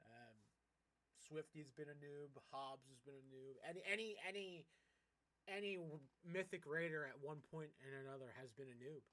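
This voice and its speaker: A man's voice: on the high side, moderate pace, sharp tone, rough recording. A man chatting casually as a game streamer, rambling and encouraging in an informal way. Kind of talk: casual livestream chat while gaming.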